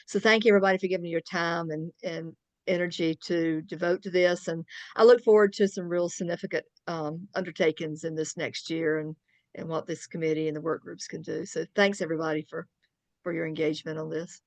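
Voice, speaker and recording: A woman's voice: on the low side, fairly even intonation, a little fast, dry recording. A woman serving as board chair, making earnest, appreciative remarks in a meeting, with small restarts.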